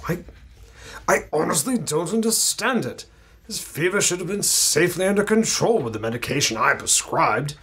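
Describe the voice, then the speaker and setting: A flustered, stammering doctor who delivers bad news with great reluctance, acted by a man in a radio play. His voice is bright and a little husky, and it rises and falls a lot. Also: fairly high pitch, animated, normal speed, heavily acted. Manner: hesitant, stammering. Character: flustered middle-aged male country doctor. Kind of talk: old-time radio drama acting.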